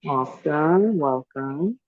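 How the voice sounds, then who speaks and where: A woman's voice: low voice, expressive, slow pace, dry recording, phone-quality sound. A woman hosting a dating show, calling on guests one by one with short cheerful acknowledgements.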